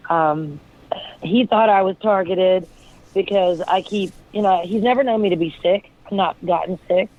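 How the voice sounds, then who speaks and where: A hoarse woman with a raspy voice, a guest talking in a rambling, searching way with many fillers. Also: mid-range voice, normal speed. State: hoarse from illness. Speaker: guest, friend of the deceased. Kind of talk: casual interview talk. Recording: tinny phone line.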